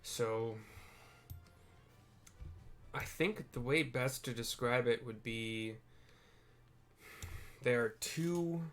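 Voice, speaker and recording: A man's voice: mid-range voice, extremely slow, pauses often, fairly bright tone. A man hosting a game playthrough with casual, improvised scene descriptions, rambling and self-deprecating as he searches for words. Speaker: host of a game playthrough video.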